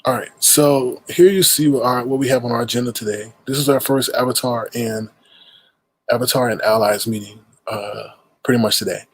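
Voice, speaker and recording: A man's voice: mid-range voice, fairly even intonation, moderate pace, slightly rough, dry recording, poor audio. A man with a stuffed-up nose hosting a casual livestream, rambling and greeting viewers in chat mid-sentence. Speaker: livestream host.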